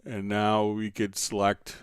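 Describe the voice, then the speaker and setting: A man hosting a casual live software tutorial, thinking aloud tentatively as he demonstrates and answers viewer questions. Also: on the low side, completely flat delivery, takes it slowly, faint music behind. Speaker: livestream host and software instructor.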